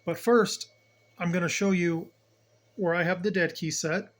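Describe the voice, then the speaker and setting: Slightly high-pitched man explaining casually. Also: fairly even intonation, unhurried. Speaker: CB radio hobbyist host giving a demonstration. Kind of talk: casual hands-on demonstration, explaining as he goes.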